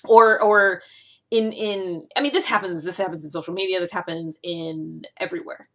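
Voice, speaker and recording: A woman hosting a video series, talking casually and explaining ideas in an animated, conversational way with emphatic asides. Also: lowish voice, moderate pace. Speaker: host of a personality-typing video series. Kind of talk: casual explanatory monologue to camera.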